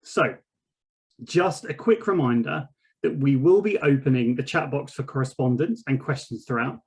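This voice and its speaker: A man hosting a company webinar, giving formal, scripted welcome remarks. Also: medium pitch. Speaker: webinar host.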